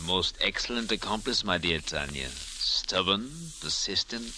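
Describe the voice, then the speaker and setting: A low-pitched, suave, gloating villain. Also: male voice, lively intonation, a little slow. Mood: gloating, delighted. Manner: pompous, savouring words. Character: suave, gloating male villain, grandly polite. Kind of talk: 1940s radio acting. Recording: hissy old radio recording.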